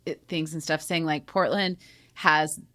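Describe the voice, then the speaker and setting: A woman from Oregon co-hosting a podcast, chatting and teasing in a relaxed way. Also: deep voice, a little slow. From Oregon, USA. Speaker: podcast co-host. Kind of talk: casual chat.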